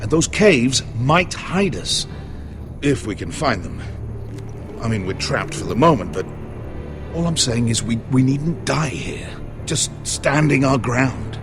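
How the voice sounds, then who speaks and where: An actor playing an anxious, bookish middle-aged uncle in a video game drama, articulate and dryly pedantic. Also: male voice, medium pitch, very animated, measured pace, music in the background. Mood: earnest, explaining. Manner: dry, articulate, faintly pedantic.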